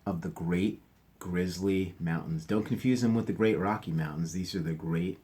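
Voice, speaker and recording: Male teacher, reading a picture book to kids, chatty asides, lowish voice. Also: normal speed, dark tone, slightly rough. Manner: chatty asides, sometimes whispering.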